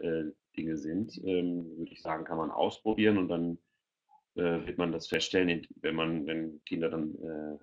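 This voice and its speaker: A middle-aged man informally answering questions as a guest expert on a video call. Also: rumbling low. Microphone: headset on a video call. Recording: dull, lo-fi video-call audio.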